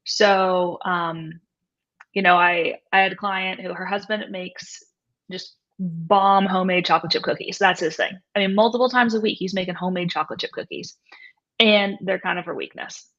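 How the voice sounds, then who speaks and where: A woman's voice: medium pitch, a bit bright, dull audio. A woman, an author and coach, speaking reflectively in an interview, often checking in with "right?". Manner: reflective, frequent "right?" tags. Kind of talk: conversational interview.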